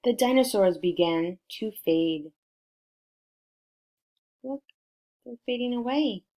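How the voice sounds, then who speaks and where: A woman reading a picture book aloud to children in an expressive way, prompting her listeners with questions. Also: fairly high pitch, takes it slowly, slight background noise. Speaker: storybook reader for children.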